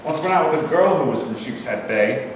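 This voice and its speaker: A man's voice: medium pitch, lively intonation, brisk, natural voice. A young man acting a brash, irritable American GI with blunt, slangy talk in a staged script reading. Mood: guarded, chatty. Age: young adult. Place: bare rehearsal room, camera at a distance. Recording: echoey hall from far off, faint noise.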